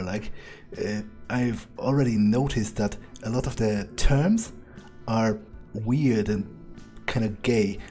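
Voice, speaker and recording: Slow, mellow man musing in character. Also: on the low side. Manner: hesitant musing, sardonic, profane. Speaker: game player roleplaying a character. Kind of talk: in-character game playthrough, thinking aloud.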